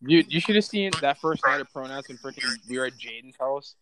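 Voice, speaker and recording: A man of about twenty-two talks casually as a podcast co-host. His speech is slangy and loose, at an ordinary pitch, with some background noise on the recording. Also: expressive, brisk. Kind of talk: casual banter among friends.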